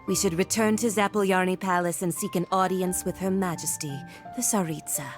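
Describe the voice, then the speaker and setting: A woman voicing a cold, haughty villainous envoy in a video game, measured and condescending. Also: lowish voice, fairly even intonation, faint music behind, lightly acted. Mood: cool disdain. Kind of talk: video game cutscene voice acting.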